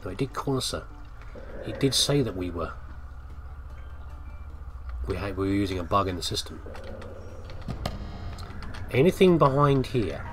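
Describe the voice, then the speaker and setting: A British man talks his way through a video game in a very lively, swooping voice. He is chatty and playful, muttering asides to himself, with light game ambience and noise behind him. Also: lowish voice, measured pace, long pauses. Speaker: gamer doing a let's play. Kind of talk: casual game commentary, thinking aloud.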